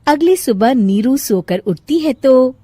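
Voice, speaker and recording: A woman's voice: sits high, lively intonation, slow, dark tone, no room sound. A woman storyteller reading scripted narration for a moral bedtime story.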